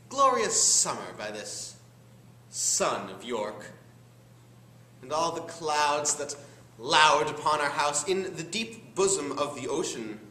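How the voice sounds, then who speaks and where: A teenage male student performing a bitter, deformed, scheming duke in a theatrical, deliberate Shakespearean stage monologue. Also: on the high side, pushed and bright, exaggerated voice. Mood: brooding, sardonic. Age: teens. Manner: theatrical, deliberate, dramatic pauses. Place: high school classroom. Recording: roomy classroom recording, faint background noise.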